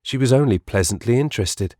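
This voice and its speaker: A man's voice: medium pitch, animated, brisk, darkish tone, no room sound, studio quality. A man reading scripted storybook narration as the narrator of an audiobook.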